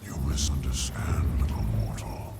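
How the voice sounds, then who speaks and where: A man voicing a menacing, imperious demon who holds mortals in contempt, in a gravelly, breathy growl for a video game. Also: very deep voice, level tone, loud music behind the voice. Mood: cold defiance. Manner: slow, imperious. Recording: heavy noise behind the voice.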